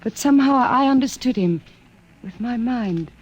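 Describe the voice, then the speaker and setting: A woman's voice: fairly high pitch, lively intonation, moderate pace, darkish tone, slightly rough. A woman playing a wistful, unhappy wife on Mars in a radio drama, musing and hesitant. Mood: dreamy.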